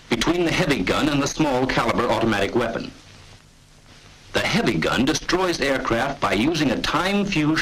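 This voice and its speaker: A man's voice: medium pitch, fairly bright tone, slight background noise, rough recording. An American man narrating a 1940s military training film in an authoritative, clipped, instructional manner, on an old film soundtrack.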